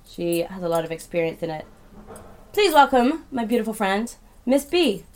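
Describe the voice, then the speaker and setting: A woman hosts her podcast with a croaky, hoarse voice left over from being sick. She chats in a loose, rambling, upbeat way. Her pitch sits in the middle of the range. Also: very animated, normal speed, darkish tone. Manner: bubbly, rambling, swears casually. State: hoarse from illness. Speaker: podcast host. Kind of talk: casual podcast chat.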